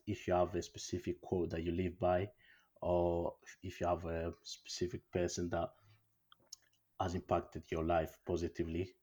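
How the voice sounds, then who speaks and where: A man's voice: low voice, darkish tone. A man hosting a casual interview, phrasing questions hesitantly and often repeating short acknowledgements. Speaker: interview show host.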